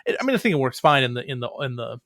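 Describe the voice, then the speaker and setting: Male writer guest, mid-pitched, casual and wry, self-interrupting, recorded dry. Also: big pitch swings, rapid-fire, fairly bright tone, no echo. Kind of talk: casual chat.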